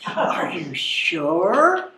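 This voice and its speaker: A woman's voice: lowish voice, wildly swooping pitch, extremely slow, sharp tone, exaggerated voice. A woman playing a puzzled, skeptical newborn baby in a readers theatre comedy, asking dry questions. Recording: echoey stage recording with faint noise.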